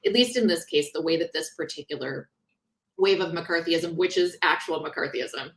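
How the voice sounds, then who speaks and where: A woman author talking in a conversational interview, explaining things in an animated, informal way. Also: deep voice, moderate pace. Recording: roomy, echoing sound.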